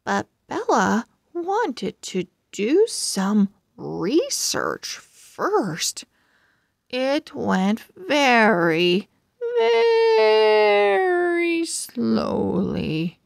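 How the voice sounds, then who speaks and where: A woman reading a children's storybook aloud as host, expressively and with deliberate phrasing. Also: high voice, vocal fry, dry recording, studio quality. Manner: deliberate, animated phrasing for young readers.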